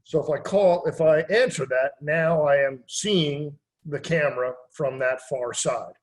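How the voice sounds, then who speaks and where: A man's voice: a little high, unhurried. A man giving a casual product demonstration as an expert, conversational and plain-spoken.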